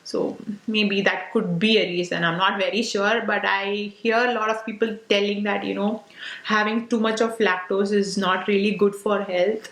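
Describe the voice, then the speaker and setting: A woman's voice: medium pitch, flat delivery, normal speed, fairly bright tone. A woman in her late twenties with an Indian English accent, a Tamil speaker, answering viewer questions in a casual vlog, talking loosely with lots of "uh" and "like". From South India. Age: 20s. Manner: chatty, many fillers like "uh" and "like". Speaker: beauty vlogger. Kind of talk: casual Q&A to camera. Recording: roomy echo.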